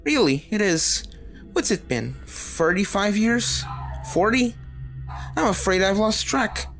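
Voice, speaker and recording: Raspy villainess unicorn for a horror fan fiction, voiced by a female reader: cruel, sneering, gloating, music underneath. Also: medium pitch, sing-song, slow pace, pitched above the performer's own voice. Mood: mocking. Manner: sneering, taunting, cackling.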